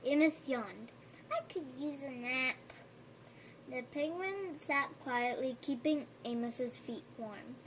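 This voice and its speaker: High-voiced woman reading a storybook slowly. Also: a little hiss or hum, low-quality recording. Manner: slow, gentle reading with chatty asides to the listener. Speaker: storybook reader. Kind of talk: reading a picture book aloud.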